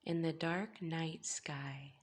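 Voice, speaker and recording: Slow-paced woman reading a children's storybook. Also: deep voice, steady tone, breathy edge. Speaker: children's read-aloud host. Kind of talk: expressive storybook narration for young children.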